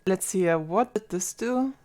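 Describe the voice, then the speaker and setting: A woman's voice: medium pitch, moderate pace, darkish tone. A woman in her twenties streaming live from home, chatting casually and thinking aloud into a desk microphone as she puzzles over her setup. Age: 20s.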